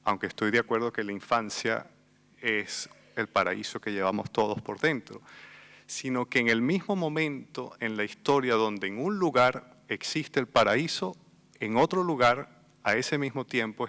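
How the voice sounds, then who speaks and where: A man from Venezuela with a Venezuelan Spanish accent, speaking thoughtfully on a literary festival panel, pausing and repeating words as he thinks. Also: lowish voice, bright, forceful tone. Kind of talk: reflective panel talk. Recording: long hall reverb.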